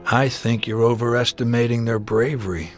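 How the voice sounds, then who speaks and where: An actor as a coolly menacing older crime boss. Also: male voice, mid-range voice, steady tone, close to an everyday voice. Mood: dryly menacing. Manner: measured, dryly sardonic. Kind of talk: dramatic video game acting. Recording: faint music behind the voice.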